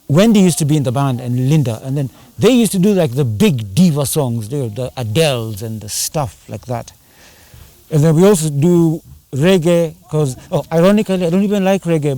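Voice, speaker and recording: A man's voice: a little high, mellow, no echo. A man chatting casually in an interview, rambling and slipping into Swahili slang. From Kenya. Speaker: band singer and drummer.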